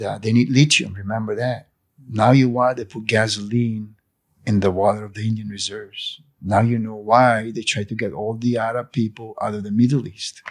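Husky sixty-year-old man, preachy. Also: fairly low pitch. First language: not English. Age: 60s. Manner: preachy, emphatic, gestures with hands. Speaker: cafe owner interviewed. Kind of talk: impassioned interview monologue. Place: living room indoors. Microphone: handheld fluffy interview mic.

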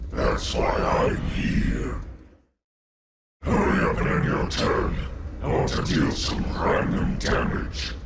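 A man playing an enraged, colossal fire elemental lord in a video game, with a harsh, booming roar and a pompous, theatrical manner. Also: very noisy, heavily acted. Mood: fiery, boastful, then bellowing, gruff humor. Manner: bellowing, slow, theatrical and pompous. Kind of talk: video game character voice lines.

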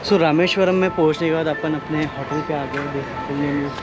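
A young man vlogging on a road trip, talking excitedly to a handheld camera outdoors and from a car. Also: fairly high pitch, moderate pace, loud music behind the voice. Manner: excited, chatty, mixing Hindi and English. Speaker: travel vlogger. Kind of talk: casual on-camera travel chat. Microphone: handheld camera at arm's length.

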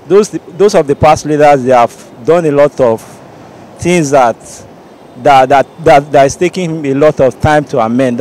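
A man's voice: a little high, darkish tone, rough recording. A Nigerian man in his thirties with a Nigerian accent, answering a street interview earnestly and steadily, stumbling now and then. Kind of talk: vox pop street interview. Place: busy city roadside outdoors. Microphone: handheld reporter mic. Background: traffic and street ambience.

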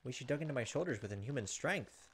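A man's voice: mid-range voice, expressive, quick pace, slight background noise. A man in his thirties hosting a let's play, reading game narration aloud with casual asides.

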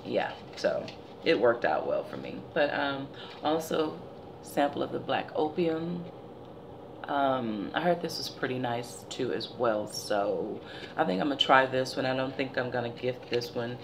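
A woman in her forties speaks in a deep, gravelly voice that sounds a little tired. She chats casually and tells stories as a vlogger in her living room. A steady hiss sits under the recording. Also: measured pace. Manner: chatty, animated storytelling. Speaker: beauty vlogger. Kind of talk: casual product haul chat. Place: living room by window blinds, indoors.

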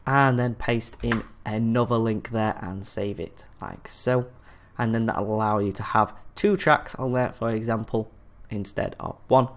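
A man presenting a screencast tutorial in a casual, friendly instructional way. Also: medium pitch.

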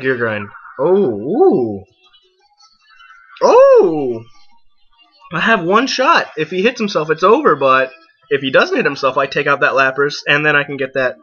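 A young man giving casual, excitable let's play commentary, exclaiming and talking to the game and himself. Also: fairly high pitch. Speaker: gaming video host. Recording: muffled lo-fi mic, game music behind. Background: video game music.

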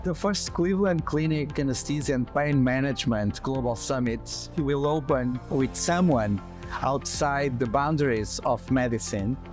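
A middle-aged man speaking in measured, halting phrases as he presents an event to camera like an interviewer. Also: medium pitch. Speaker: doctor, interviewer. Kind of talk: promotional interview, addressing camera. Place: large open hospital lobby, indoors, seated on sofa. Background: background music.

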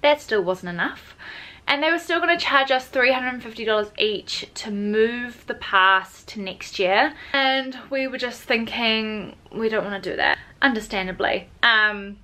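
A woman's voice: on the high side, measured pace, pushed and bright. Chatty young New woman vlogging. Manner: bubbly and chatty, lots of ums and likes. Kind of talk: casual chatty vlog talk. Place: bedroom at home, indoors.

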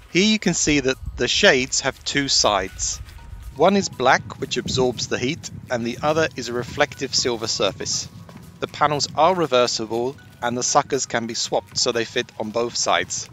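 A man narrating a campervan how-to in a steady, explanatory voice of middling pitch. Light background music runs softly under his voice-over. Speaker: van life vlogger. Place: based in Sweden.

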